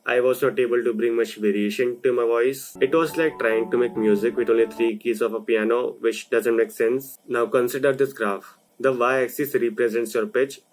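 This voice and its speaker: A young man from India who runs a video channel, talking through a voice lesson in a slow, deliberate explainer style. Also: mid-range voice, steady tone, poor audio. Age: young adult. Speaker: video creator. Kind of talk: explainer narration.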